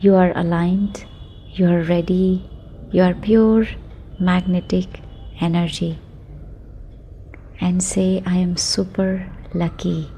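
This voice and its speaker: A woman guiding a sleep meditation in a soothing, unhurried manner, speaking affirmations in short phrases with long pauses. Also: on the low side, flat delivery, dark tone, slight background noise. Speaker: meditation guide.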